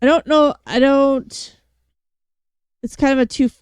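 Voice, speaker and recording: Giggly, halting woman in an interview. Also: high voice, steady tone, darkish tone, dry recording, studio quality. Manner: giggly, halting, restarts often. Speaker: podcast guest. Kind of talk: casual interview.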